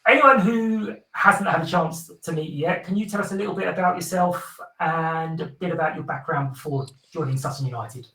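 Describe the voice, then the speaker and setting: Bright-voiced podcast host, echoey room. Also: male voice, fairly high pitch, a little fast, few pauses, far from the mic. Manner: chatty, friendly, laughs often with filler ums. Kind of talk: casual podcast chat.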